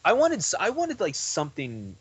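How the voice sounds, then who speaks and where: A man's voice: high-pitched, huge pitch swings, normal speed, slightly rough. Rambling young man, casual chat. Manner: rambling, full of "like" and restarts. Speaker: podcast guest.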